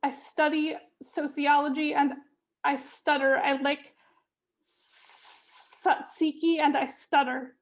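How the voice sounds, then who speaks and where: A woman with a high voice reads her own spoken word poem slowly, her pitch held fairly level. She stutters, and she keeps a measured, rhythmic delivery. It sounds like a video call. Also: flat delivery. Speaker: poet reading her chapter. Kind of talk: spoken word poetry reading.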